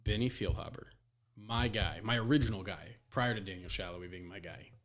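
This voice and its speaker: A man's voice: deep voice, moderate pace, a bit bright. A man co-hosting a sports podcast, chatting casually. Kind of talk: casual chat. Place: recorded in person in a shared room.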